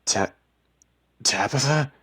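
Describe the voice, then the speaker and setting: A man's voice: mid-range voice, slow pace, bright, forceful tone, husky, pitched above the performer's own voice, full character voice. A man voicing a bewildered, defensive husband in a dramatic story reading, insistent and emphatic. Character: bewildered, defensive husband who hears voices.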